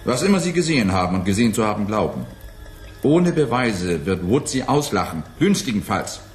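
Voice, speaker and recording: A skeptical scientist colleague in a science fiction radio drama. The voice is fairly low and swings widely in melody, expressive and questioning, placating with a faint mocking edge. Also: male voice, fairly quick, soft background music. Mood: cautioning. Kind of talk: 1980s German radio play acting.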